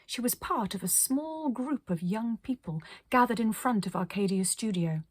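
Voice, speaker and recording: A woman reading a children's story aloud as storyteller, expressive, with dramatic pauses. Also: on the high side, husky. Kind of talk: scripted children's story narration.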